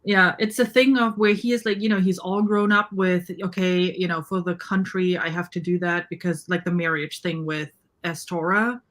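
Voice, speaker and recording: A woman's voice: fairly low pitch, steady tone, normal speed, few pauses, fairly bright tone. A woman talking casually and conversationally as a panelist in an online book discussion. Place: indoors on a livestream video call.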